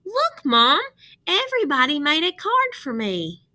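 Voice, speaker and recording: Woman doing a young storybook schoolboy, raised pitch, bright, earnest, appreciative, emphatic. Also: huge pitch swings, unhurried, exaggerated voice. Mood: excited. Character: young schoolboy about to move away, wistful, appreciative. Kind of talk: storybook read-aloud.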